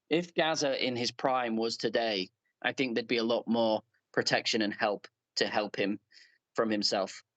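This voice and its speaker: An English man from Leeds chatting as a guest, earnest and halting with many fillers and restarts. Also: medium pitch, a bit bright, no echo. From Leeds, England. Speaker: guest player. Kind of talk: casual chat.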